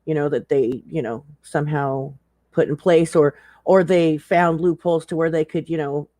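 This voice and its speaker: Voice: medium pitch, fairly even intonation, normal speed, warm tone, dry recording. A livestream co-commentator chatting casually in a blunt, profane way. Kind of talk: casual chat.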